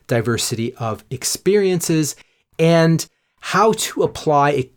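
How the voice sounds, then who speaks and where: A man hosting a podcast, reading an emphatic, upbeat introduction and then welcoming his guest. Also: medium pitch. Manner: upbeat, emphatic, drawn-out words for stress. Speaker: podcast host. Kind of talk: scripted podcast intro and interview.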